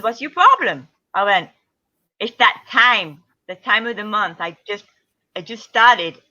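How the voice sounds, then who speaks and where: A middle-aged woman, a guest athlete, telling lively anecdotes in a casual interview and acting out the voices she quotes. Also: medium pitch, muffled recording. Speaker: guest ultra-distance triathlete.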